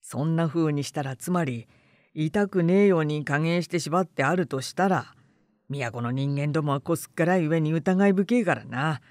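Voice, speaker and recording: A woman voicing a crafty, gruff male rogue in a storybook reading, blunt and speaking rough rural dialect. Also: low-pitched, normal speed, a little mellow, no echo, clean studio sound, pitched below the performer's own voice, close to an everyday voice. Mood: sly, explaining.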